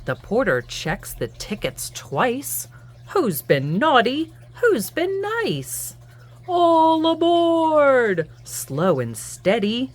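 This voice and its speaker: Slow, very animated woman reading a picture book. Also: sits high. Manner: animated, singsong, deliberate storytelling. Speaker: children's book reader and host. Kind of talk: expressive picture-book read-aloud.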